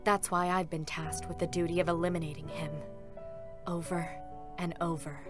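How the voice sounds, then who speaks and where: A reserved android soldier woman played by an actress, speaking in a low voice. Her delivery is formal and serious, holding sorrow under a composed surface, in a game-story reading with faint music. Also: level tone, normal speed, lightly acted. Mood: solemn. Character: stoic, reserved female combat android hiding sorrow. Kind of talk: dramatic concert reading.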